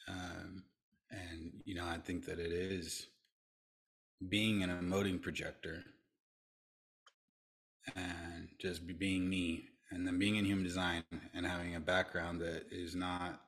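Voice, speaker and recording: A man's voice: low voice, little pitch movement, a little slow, long pauses, a bit bright. A middle-aged man speaking earnestly as a podcast guest, often restarting and repeating himself. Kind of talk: reflective interview talk.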